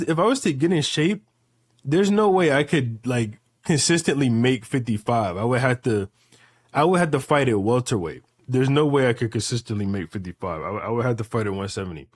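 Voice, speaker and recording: A man's voice: medium pitch, expressive, normal speed, breathy edge, no room sound, clean studio sound. A man in his late twenties chatting casually and rambling through hypotheticals, repeating phrases emphatically with profane slang. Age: late 20s.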